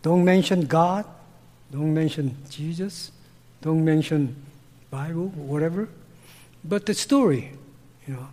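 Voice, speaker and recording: A man's voice: fairly high pitch, in a large hall. A man with a Korean accent and broken English, a missionary answering interview questions with personal stories. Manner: broken English, slow and earnest, gets loud when animated. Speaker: missionary guest.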